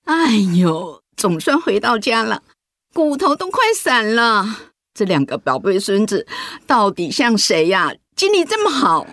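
A woman's voice: mid-range voice, very animated, unhurried, a bit bright, dry recording. A woman playing an affectionate, emotional wife and grandmother with a Taiwanese Mandarin accent in a radio drama. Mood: weary, fond. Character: retired grandmother, affectionate, emotional breast cancer survivor.

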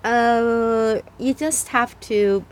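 A woman's voice: on the high side, steady tone, very slow. A woman from Taiwan hosting a podcast, explaining things patiently in a casual chat and often repeating herself as she thinks. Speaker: podcast host and language exchange partner.

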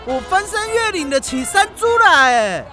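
A woman's voice: very high-pitched, very animated, moderate pace, bright, forceful tone, faint music behind, full character voice. A woman voicing a boastful, hot-tempered contestant chef in a children's radio drama, blustering and easily outraged.

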